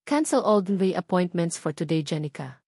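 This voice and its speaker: A woman voicing a hot-tempered, commanding billionaire husband with a forceful, demanding manner in a dramatised novel reading. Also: fairly low pitch, animated, moderate pace, slightly rough, dry recording, clean studio sound. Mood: curt.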